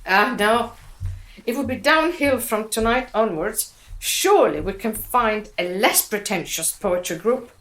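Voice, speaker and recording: Dismissive poetry newcomer, radio drama, blunt, dry, echoey. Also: female voice, fairly high pitch, animated, unhurried, a bit bright. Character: blunt, unimpressed female poetry group newcomer. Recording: echoey room.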